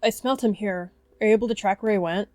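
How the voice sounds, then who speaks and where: A woman's voice: medium pitch, quick pace, darkish tone, no room sound, faint music behind, voice pushed up, lightly acted. Woman voicing an anxious young apprentice cat. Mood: worried.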